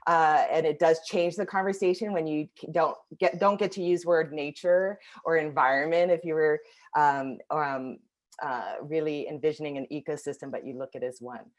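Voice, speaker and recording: Reflective woman co-presenter on a video call, low voice, halting with fillers. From Washington, D.C. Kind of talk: webinar discussion.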